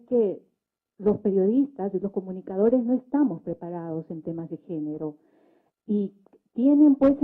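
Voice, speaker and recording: Mujer peruana con sonido telefónico. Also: female voice, fairly high pitch. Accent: Peruvian. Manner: explanatory, frequent tag questions. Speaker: government social communicator, panel speaker. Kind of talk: public debate remarks. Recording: thin phone-like sound.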